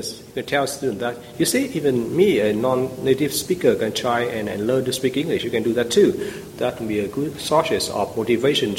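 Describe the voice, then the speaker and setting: A man's voice: medium pitch, in a large hall, slight background noise, low-quality recording. A man, a language teacher answering interview questions thoughtfully, with frequent fillers and restarts. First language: Vietnamese.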